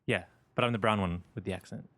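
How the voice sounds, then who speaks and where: A man's voice: low voice, steady tone, quick pace, a bit bright, no room sound. A man from Sydney with a mild Australian accent, co-hosting a podcast in casual back-and-forth banter. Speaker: podcast co-host.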